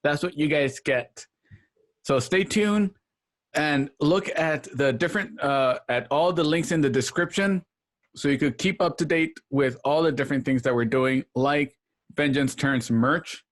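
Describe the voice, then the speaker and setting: A man's voice: a little high, measured pace. Rambling male host wrapping up. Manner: rambling, laughs often. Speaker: show host. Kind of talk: casual wrap-up chat with thanks and plugs. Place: home, over a video call. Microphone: home setup.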